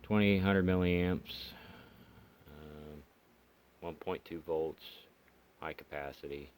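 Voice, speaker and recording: Low-voiced man casually demonstrating gear, noisy recording. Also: slow. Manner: plainspoken, muttering to himself while working. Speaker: hobbyist radio reviewer. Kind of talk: casual unscripted product demonstration. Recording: steady background noise, amateur home video.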